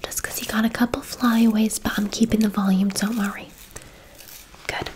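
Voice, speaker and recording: A woman's voice: mid-range voice, steady tone, moderate pace, noisy background, natural voice. A woman playing a gushing, affectionate, gossipy hairdresser in an ASMR roleplay, chatty and full of endearments. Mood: soothing, admiring. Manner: effusive, chatty, uses endearments.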